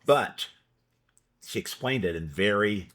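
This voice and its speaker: Low-voiced guy hosting a food interview, halting and searching for words. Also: animated, fairly bright tone. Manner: halting, many restarts and fillers. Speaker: show host. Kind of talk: conversational interview.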